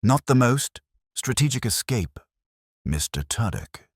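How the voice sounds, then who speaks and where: A man voicing a calm, dry-witted police inspector who speaks in clipped, unhurried lines, in a spoken story. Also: mid-range voice, dry recording, voice pushed up.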